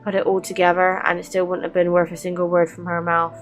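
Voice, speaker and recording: A woman's voice: lowish voice, completely flat delivery, quick pace. A woman hosting a game playthrough, informal, reading on-screen dialogue aloud with some feeling. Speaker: gaming channel host. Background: game music.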